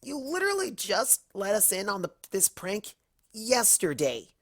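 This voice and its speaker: A woman's voice: mid-range voice, huge pitch swings, a little slow, voice pushed up, full character voice. A woman voicing a brash young female cartoon pegasus pony with an exasperated manner.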